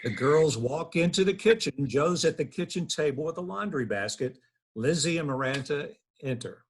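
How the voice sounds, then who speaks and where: A man with a husky voice reading scripted narration of stage directions. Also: fairly high pitch, expressive, moderate pace, a little hiss or hum. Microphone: video call.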